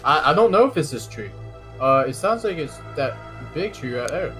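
A man's voice: a little high, big pitch swings, normal speed, fairly bright tone, faint music behind. A man playing a nervous, chatty novice lumberjack in an online game roleplay, hesitant and repeating his words. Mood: uneasy.

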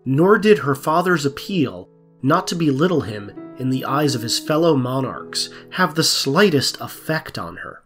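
A man's voice: mid-range voice, animated, a little slow, a bit bright. Man, narrator, scripted fairy tale storytelling, faint music behind.

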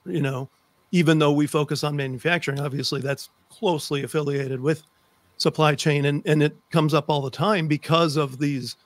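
A man's voice: on the high side, dry recording. A middle-aged man hosting an interview in casual, chatty style, speaking close to a desk microphone. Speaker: podcast host. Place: indoor home office with bookshelves. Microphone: desk microphone, close, on a video call.

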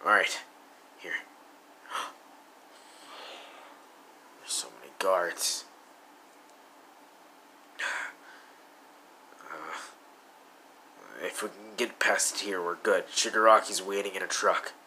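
A man's voice: sits low, very slow, pauses often, sharp tone, a little hiss or hum. A man voicing a brooding young male villain with blue fire, muttering and sometimes whispering in a fan audio roleplay.

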